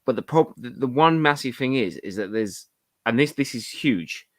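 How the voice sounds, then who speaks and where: A man's voice: mid-range voice, lively intonation, normal speed, no room sound, clean studio sound. A man in a casual, jokey group chat about his hobby, talking as a panelist on a podcast. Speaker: podcast panelist and toy collector.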